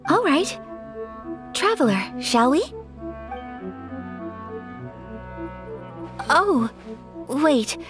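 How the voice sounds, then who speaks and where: An actress playing a gracious, modest young noblewoman in a video game, speaking in a gentle, formal and polite way. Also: high-pitched, very animated, slow, music in the background, full character voice. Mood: shy, earnest.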